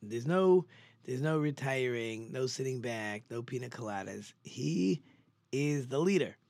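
Male, mid-pitched. Torah teacher, informal and conversational, stresses points by repeating them. Very dry recording. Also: lively intonation, unhurried, vocal fry, a little mellow, no room sound. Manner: conversational, rhetorical questions, emphatic repetition. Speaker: religious teacher giving a Torah class. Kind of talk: informal sermon lecture.

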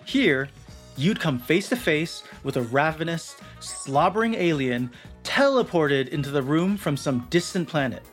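Higher-pitched man narrating dramatically over music. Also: animated, measured pace. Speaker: narrator of a feature article. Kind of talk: scripted documentary narration. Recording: music bed under the voice.

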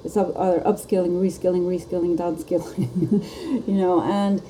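A woman's voice: lowish voice, steady tone, moderate pace, warm tone, slight background noise, low-quality recording. A woman hosting a live podcast chat, talking casually and laughing often. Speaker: podcast host.

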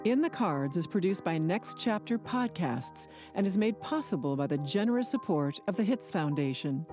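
A woman's voice: lowish voice, lively intonation, moderate pace, music in the background. A woman reading scripted podcast credits as an announcer.

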